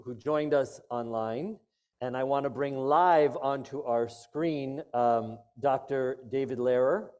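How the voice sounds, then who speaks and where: A man leading a synagogue holiday service, introducing a guest speaker at length in a measured way. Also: mid-range voice, mellow. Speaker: rabbi hosting a service discussion. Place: synagogue sanctuary.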